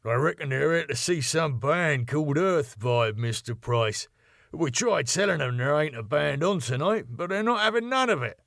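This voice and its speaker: A man voicing a blunt, rough male doorman. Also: fairly high pitch, lively intonation, moderate pace, a bit bright, dry recording, studio quality, voice pushed up. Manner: blunt, working-class.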